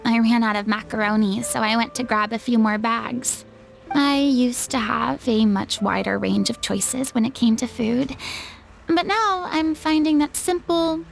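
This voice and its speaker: Haughty young ex-star for a game, high voice with some fry, theatrical, formal airs. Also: female voice. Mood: casual, defensive. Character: proud theatrical young former idol, haughty, easily offended. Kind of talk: video game voice acting.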